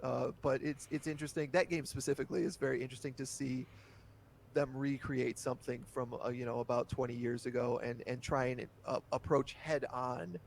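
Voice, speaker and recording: A man's voice: mid-range voice, flat delivery, brisk, gravelly, slight background noise. A man guesting on a podcast, talking candidly in casual chat and breaking into laughter easily.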